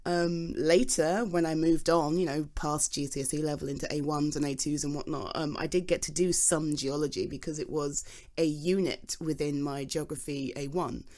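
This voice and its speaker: A woman's voice: low voice, fairly even intonation, moderate pace, a little mellow, natural voice. A British woman playing a chatty, flustered geology nerd in an intimate audio roleplay, rambling fondly and animatedly as she explains things to someone close. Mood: reminiscing. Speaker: ASMR roleplay voice performer.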